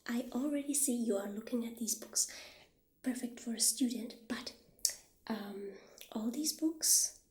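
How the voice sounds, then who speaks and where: A woman playing a friendly witch shopkeeper in a soft-spoken ASMR roleplay, gentle and hesitant, with a breathy, half-whispered voice. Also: a little high, lightly acted. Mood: gently helpful. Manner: gentle, hesitant, halting delivery. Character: friendly, humble witch shopkeeper selling magic wares. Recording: roomy echo, clear background noise.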